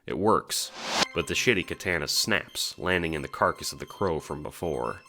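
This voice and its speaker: A man's voice: very deep voice, animated, normal speed, creaky. A man reading scripted second-person narration for an audio drama.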